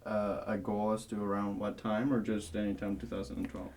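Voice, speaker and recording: Very deep-voiced woman interviewer. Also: flat delivery, normal speed, darkish tone. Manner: brief, laughing backchannel. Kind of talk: casual interview questions.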